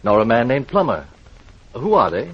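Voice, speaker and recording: Evasive dress designer, forties, American accent, husky, lively intonation, old radio drama, dull recording. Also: male voice, medium pitch, moderate pace. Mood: guarded. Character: guarded, polite male dress designer, forty-five. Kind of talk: 1940s radio acting.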